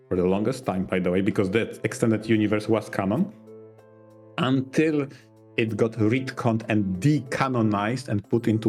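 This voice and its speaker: A man co-hosting a podcast, reasoning through points casually in deliberate, halting phrases. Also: lowish voice, darkish tone. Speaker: podcast co-host. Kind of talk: casual analytical podcast discussion.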